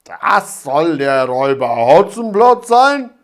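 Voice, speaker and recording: A man voicing a pompous, hot-tempered village policeman who blusters and shouts officiously. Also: a little high, sing-song, slow pace, full character voice. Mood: incredulous.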